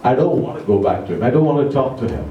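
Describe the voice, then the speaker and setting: A man giving an educational talk to a club audience, speaking slowly and deliberately, asking them questions, English not his first language. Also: medium pitch, animated, far from the mic, highs cut off, a little hiss or hum. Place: club meeting room. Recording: echoey room recorded from afar.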